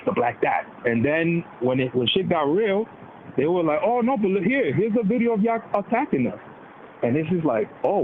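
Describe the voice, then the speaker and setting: A man of Dominican background riffs on politics in loose, slangy talk and keeps slipping into quoted voices. His voice sits a little high for a man. It comes through a narrow, tinny phone-like line with steady noise behind it. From Dominican heritage. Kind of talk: casual political chat.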